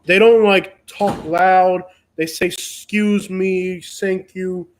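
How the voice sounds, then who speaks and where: High-voiced Cuban-born man chatting. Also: flat delivery, takes it slowly. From Cuba. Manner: rambling, frequent restarts and fillers. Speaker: host. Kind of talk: casual live chat.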